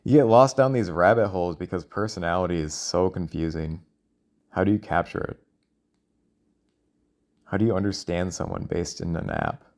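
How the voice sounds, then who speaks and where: A man speaking in a casual, rambling monologue, thinking out loud in a halting, self-questioning way. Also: low-pitched. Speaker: solo audio log creator.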